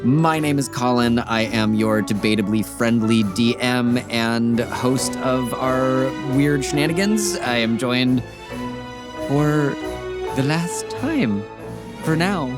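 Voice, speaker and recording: A man's voice: mid-range voice, slow, over loud music. Playful male podcast host and game master. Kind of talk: casual podcast chat.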